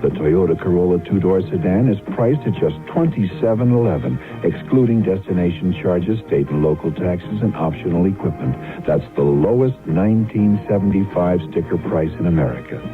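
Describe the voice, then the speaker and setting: A man announcing a car commercial in an upbeat sales-pitch style. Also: expressive, moderate pace, hardly any pauses. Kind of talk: car advertisement read. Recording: tinny 1970s radio ad with music bed.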